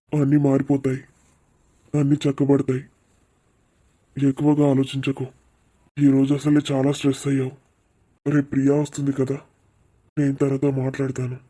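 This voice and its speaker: A man's voice: mid-range voice, mellow, close to an everyday voice. A man playing an exasperated middle-aged father in a cartoon. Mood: reassuring, advising. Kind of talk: animated cartoon dialogue.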